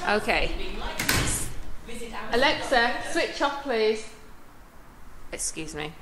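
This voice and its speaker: A woman giving a casual walking tour of her shop and café, filmed on a handheld phone. She chats in a relaxed way and laughs now and then. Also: a little high, slow pace, over a noisy crowd. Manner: chatty and relaxed, with small laughs. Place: small shop and café, indoors and on the pavement outside. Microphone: handheld phone camera.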